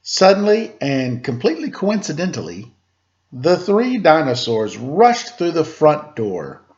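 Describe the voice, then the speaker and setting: A slightly dull, lo-fi recording of a man telling a children's story. He takes his time with dramatic pauses and swings his pitch in a very lively way. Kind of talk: animated children's story narration.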